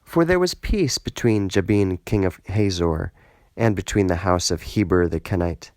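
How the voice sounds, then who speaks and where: A man reading scripture as scripted narration, solemn and measured, in short deliberate phrases. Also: low voice, expressive, darkish tone, no echo, clean studio sound. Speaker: scripture reader, audiobook narrator.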